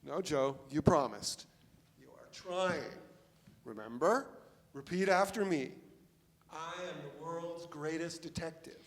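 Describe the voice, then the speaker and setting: A man in a live play reading, acting a practical sleuth who coaches a partner in a casual, measured way. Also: on the high side. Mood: coaxing. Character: practical, coaxing amateur sleuth named Chef. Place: theatre stage. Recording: reverberant theatre hall recording.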